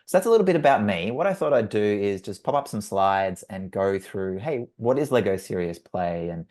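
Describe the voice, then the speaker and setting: A man's voice: on the low side, animated, moderate pace, a little mellow. A man from Australia with an Australian accent, a facilitator introducing himself on a webinar in a friendly, upbeat way. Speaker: facilitator and trainer. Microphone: video call.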